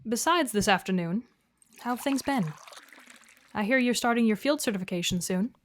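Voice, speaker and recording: A woman playing a composed, gently corrective therapist in an audio drama, speaking in a measured, patient, questioning way. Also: on the high side, animated, slight background noise, lightly acted. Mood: calm, encouraging. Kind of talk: naturalistic audio drama dialogue.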